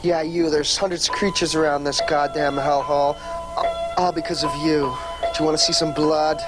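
An actor as a raging, swearing horror-movie guy, blaming and threatening, loud music behind him. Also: on the high side, flat delivery, normal speed, close to an everyday voice. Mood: angry, threatening. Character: furious accusing man in a low-budget horror film.

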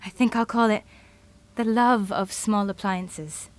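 A woman's voice: medium pitch, steady tone, measured pace, natural voice. A woman playing a thoughtful, idealistic futurist in an audio drama, speaking in a reflective, earnest manner.

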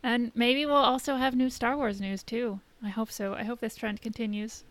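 A woman's voice: a little high, flat delivery, normal speed. A woman co-hosting a casual podcast discussion, explaining things and rephrasing as she goes. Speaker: podcast co-host.

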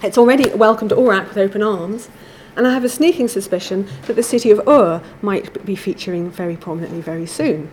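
A woman giving a measured, formal academic lecture from a prepared text. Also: mid-range voice, a little mellow, a little hiss or hum. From England.

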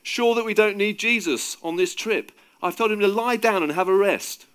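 A man voicing a cocky, bossy fisherman in a dramatised story reading, with a teasing, forceful manner. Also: medium pitch, very animated, moderate pace, natural voice. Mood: firm, insistent. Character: cocky, bossy fisherman disciple, panicking and bellowing in storms.

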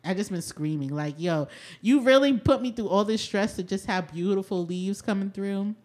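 A woman in her twenties hosts a casual podcast, telling stories in an animated, slangy way. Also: mid-range voice, normal speed. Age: 20s. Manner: animated storyteller, slangy, says "yo" a lot. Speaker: podcast host.

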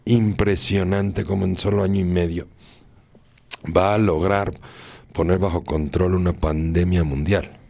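A man's voice: sits low, steady tone, unhurried, creaky, warm tone, no echo. A man hosting a radio talk show, moralizing and repeating phrases for emphasis. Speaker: radio host.

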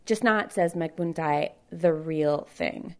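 A woman reporter narrates a radio news feature in a clear, measured manner. Her voice sits a little low for a woman. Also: a little mellow. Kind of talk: news report with field interviews.

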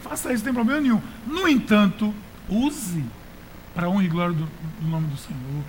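A Brazilian man with a high-pitched voice delivers a conversational sermon. He exhorts his listeners in everyday language and repeats phrases to drive them home. Light noise sits behind his voice. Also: brisk, rough recording. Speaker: pastor.

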